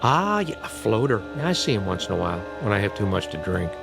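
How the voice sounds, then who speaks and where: An actor playing a joking, flippant airline co-pilot in a casual manner for a radio drama. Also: male voice, lowish voice, sing-song, fast talker, vocal fry, music in the background, full character voice. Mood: mocking. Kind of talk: vintage-style radio drama acting.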